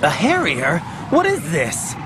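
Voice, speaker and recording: Animated young male agent. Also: a little high, takes it slowly, noisy background, heavily acted. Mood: alarmed. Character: earnest young male special agent on a mission. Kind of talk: video game cutscene acting.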